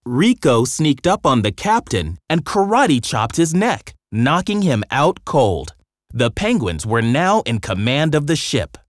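An expressive narrator reading a children's storybook, with very lively intonation and a medium pitch. The delivery is scripted and dramatic, recorded dry and close. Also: unhurried, no room sound.

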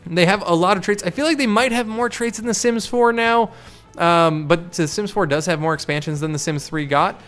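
Young man, high clear voice, casual gaming commentary, thinking aloud, music behind. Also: fairly quick, fairly bright tone. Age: young adult. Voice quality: higher-pitched, clear smooth voice. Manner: chatty, thinking aloud while playing. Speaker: gaming video host. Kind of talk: casual game playthrough commentary. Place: small home room, indoors. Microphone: desk microphone close to mouth. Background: game music.

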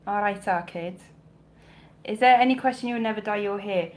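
A woman's voice: medium pitch, normal speed. A woman from South Wales in her thirties with a mild Welsh accent, chatting casually and joking as she answers comments on a home live stream. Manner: chatty, self-mocking, jokey asides. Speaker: vintage clothing reseller, livestream host. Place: home bedroom with shelves, indoors. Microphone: webcam or phone close up.

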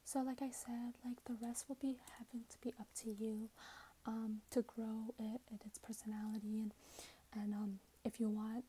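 A woman in her twenties speaking in a hushed, breathy whisper, gently role-playing a customer service clerk for ASMR. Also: fairly high pitch, rough recording. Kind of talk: soft-spoken ASMR role-play. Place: small plain indoor room.

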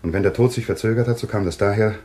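An actor playing a stern, brisk doctor in a German thriller radio play, authoritative and measured. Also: male voice, on the low side, mellow, a little hiss or hum, lightly acted. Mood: matter-of-fact.

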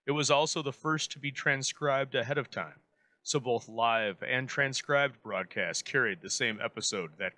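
A man delivers a formal scripted introduction as host. His voice is medium in pitch, with a bright, crisp-edged tone.

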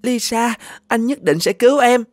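A woman's voice: a little high, expressive, moderate pace, darkish tone, dry recording. A woman voicing a caring, earnest orphan boy, a protective older brother in a cartoon, speaking tenderly and politely. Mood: earnest, pleading. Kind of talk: animated children's story dubbing.

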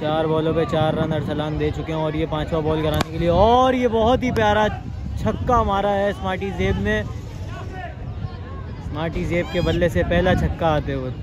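A young man giving excited live commentary on a local cricket match outdoors, his voice rising sharply on big shots. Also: on the high side, moderate pace. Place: outdoor sandy ground with a crowd. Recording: crowd noise around an outdoor mic.

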